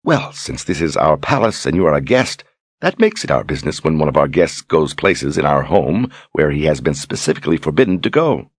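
A man's voice: very deep voice, very animated, fairly quick, breathy edge, dry recording, studio quality, heavily acted. A man voicing a haughty, mocking older sorceress who talks down to everyone. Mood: scornful. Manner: mocking, condescending. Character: haughty older female sorceress, sharp-tongued.

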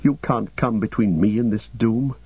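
A man's voice: lowish voice, moderate pace, husky, no echo, phone-quality sound. A man voicing a wounded, despairing young hobbit in an audiobook, anguished and breathless, veering from weary to frantic. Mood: anguished remorse. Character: wounded, despairing, feverish young male ring-bearer hobbit.

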